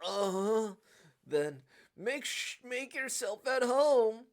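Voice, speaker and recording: Man as a flustered young man, visual novel hero, eager, awkward, loud, stammering, raised animated pitch. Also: takes it slowly, heavily acted. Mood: nervous.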